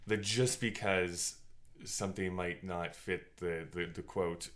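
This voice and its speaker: A man's voice: low voice, fairly even intonation, slow, a bit bright. A man co-hosting a podcast in casual conversation, with a joking, teasing way of talking. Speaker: podcast co-host.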